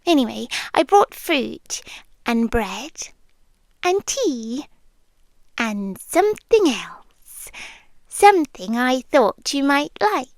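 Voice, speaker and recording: A British yandere girlfriend, acted by a woman for ASMR roleplay, possessive and sweetly threatening. Her high voice lilts and swoops in a very unhurried, teasing delivery. She often sinks into breathy, intimate whispers. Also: no echo.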